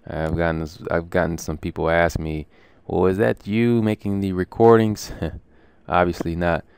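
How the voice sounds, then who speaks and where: Low-voiced man chatting through a course update. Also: fairly even intonation, measured pace, creaky, darkish tone, clear, resonant tone, no echo. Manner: informal, chatty, many ums and restarts. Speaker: language course creator. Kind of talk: casual screen-share walkthrough.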